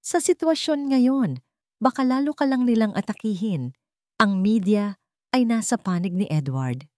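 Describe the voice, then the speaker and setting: A woman's voice: medium pitch, lively intonation, a little slow, darkish tone, no echo, pitched above the performer's own voice, lightly acted. Story lady doing a worried careful girlfriend type, anxious, dry close sound. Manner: anxious, cautioning. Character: worried, cautious female confidante.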